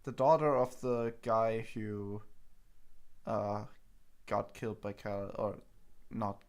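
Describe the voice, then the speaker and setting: A woman's voice: extremely low pitch, fairly even intonation, slow. A woman game streamer chatting casually as she reacts to a game.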